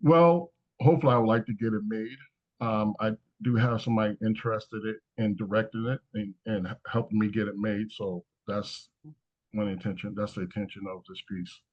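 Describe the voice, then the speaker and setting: A man's voice: lowish voice, steady tone, moderate pace, lo-fi sound. A man answering questions casually as a screenwriter, talking in a hesitant, rambling way. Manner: hesitant, rambling, frequent "you know". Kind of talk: casual Q&A talk after a script read.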